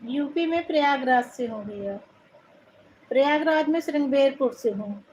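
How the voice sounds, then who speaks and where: A woman's voice: high-pitched, expressive, moderate pace, slight background noise, rough recording. A woman from Uttar Pradesh with an Awadhi-tinged Hindi accent, hosting a live stream, chatting casually and thanking viewers one by one. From Uttar Pradesh, India. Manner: warm thanking, calls viewers brother. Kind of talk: casual live chat reading viewer comments.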